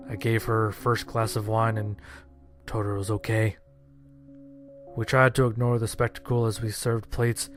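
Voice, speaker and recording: A man narrating a scripted first-person horror-comedy story in a deadpan, sardonic manner. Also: lowish voice.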